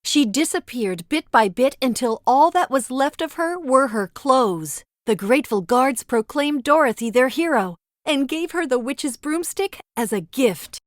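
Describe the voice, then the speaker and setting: High, lively woman storybook narrator. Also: a little slow, few pauses, dry recording, studio quality. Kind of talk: scripted read-aloud children's story narration.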